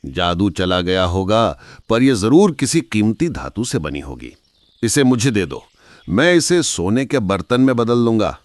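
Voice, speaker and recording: A man playing an arrogant, greedy rich merchant villain who mocks and bullies in an animated moral story. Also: on the low side, a little slow, no echo. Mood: dismissive, demanding. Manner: mocking, bullying, gloating.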